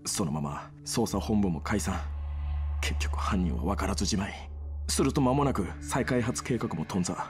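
A man's voice: on the low side, normal speed, soft background music, close to an everyday voice. An actor playing a bitter, wounded young male gang leader in a Japanese game drama, brooding and intense. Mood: grim, sorrowful. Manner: intense, brooding, breaking into anguished shouting. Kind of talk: Japanese video game cutscene acting.

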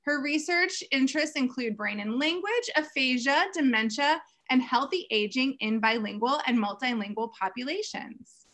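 A woman's voice: sits high, animated, normal speed, fairly bright tone. A woman, about thirties, hosting an online lecture series and reading out introductions over a video call. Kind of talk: scripted introduction of speakers. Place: indoor room on a video call.

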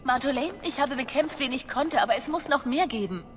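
Earnest, higher-voiced young female spy. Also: animated, fairly quick, over music. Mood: anxious, apologetic. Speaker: voice actress in a video game. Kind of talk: German fantasy game dubbing.

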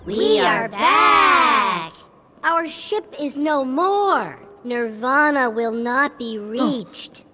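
A performer voicing a small, childlike toy alien in a video game, with solemn, reverent, drawn-out proclamations. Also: sits high, expressive, bright, forceful tone, slight background noise, exaggerated voice. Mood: solemn awe. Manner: slow, drawn-out, awestruck proclamations. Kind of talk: animated video game dialogue.

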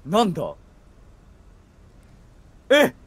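A man's voice: sits high, wildly swooping pitch, very slow, husky, dry recording. A man chatting casually with his co-op partner on a game stream. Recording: game music behind. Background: video game music.

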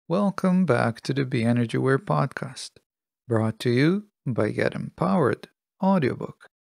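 A man hosting a solo self-help podcast, speaking from a script in a measured, deliberate, instructive way. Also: mid-range voice, lively intonation, creaky, darkish tone, dry recording. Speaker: podcast host.

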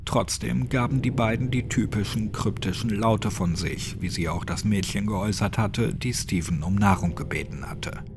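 A man's voice: on the low side, moderate pace, hardly any pauses, background noise. A man reading scripted narration for a horror audiobook.

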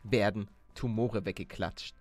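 A man hosting a Let's Play in lively casual banter, playful and excitable, often stammering and repeating words. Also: lowish voice, measured pace, faint music behind.

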